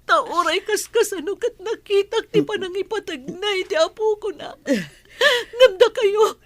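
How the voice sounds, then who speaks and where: A grieving young mother in an Ilocano radio drama. The voice is extremely high and tearful, pleading with raw emotion in an Ilocano accent. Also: unhurried. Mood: tearful accusing.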